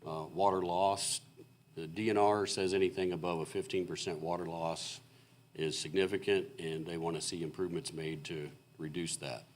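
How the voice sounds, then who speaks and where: Very low-voiced man, voice going hoarse. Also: little pitch movement, slight background noise. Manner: halting and explanatory, many fillers and restarts. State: losing his voice. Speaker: consulting engineer presenting to a city board. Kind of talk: technical presentation at a public meeting. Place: city council meeting room.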